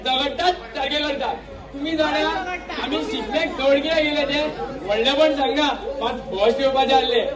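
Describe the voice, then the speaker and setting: A man with a Goan accent acting in an amateur stage play as an excitable, grumbling member of a drama group who repeats his phrases. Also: very high voice. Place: stage in a large hall, distant microphone. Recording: echoey hall from far off, audience noise.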